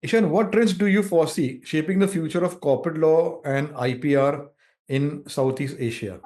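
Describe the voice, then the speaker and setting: A man hosting an interview, putting questions to his guest with frequent pauses and fillers. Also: a little high, a little mellow. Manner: hesitant, many fillers between phrases. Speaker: interview host.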